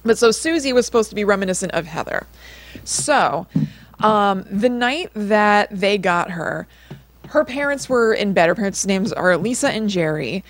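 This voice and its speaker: Casual female podcast co-host, mid-pitched, chatty and informal storyteller. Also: animated, normal speed. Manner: chatty, informal, frequent use of "like".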